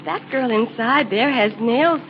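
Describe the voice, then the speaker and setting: An actress playing an eager, sharp-eyed female assistant in an old-time radio drama. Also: a little high, measured pace. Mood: agitated, insistent. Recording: muffled, noisy old radio recording.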